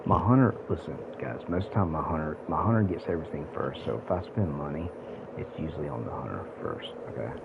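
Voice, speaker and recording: A man's voice: very deep voice, animated, fast talker, creaky, narrow, tinny audio, heavy background noise. A man, rambling casually to viewers on a gaming livestream. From South Carolina, United States. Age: late 40s. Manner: rambling, addresses viewers directly. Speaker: live streamer. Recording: music playing behind the voice.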